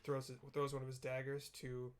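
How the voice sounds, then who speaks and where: A man's voice: medium pitch, monotone, fairly quick, clean tone. Laid-back man narrating a game. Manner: rambling, heavy on "like" and "kinda". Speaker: let's play narrator. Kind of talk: casual narration of a game cutscene.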